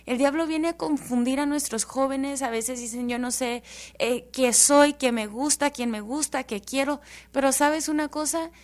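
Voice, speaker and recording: High-voiced woman from El Paso, animated. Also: moderate pace. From El Paso, Texas. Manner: animated, joking, warm testimony. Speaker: guest.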